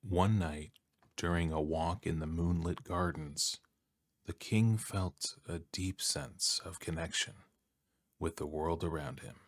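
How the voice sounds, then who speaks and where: A soft-spoken man with a deep voice narrating an ASMR bedtime story in a calm, soothing manner with long pauses.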